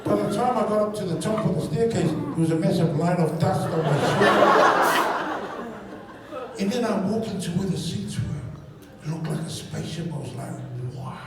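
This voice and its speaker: Samoan-speaking kiwi guy doing stand-up, animated, sounds far from the mic, audience laughing. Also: high-pitched, normal speed. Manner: animated, acts out his own reactions. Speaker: stand-up comedian. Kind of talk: stand-up storytelling. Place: comedy stage before a live audience. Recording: roomy live venue, mic from afar, crowd laughing.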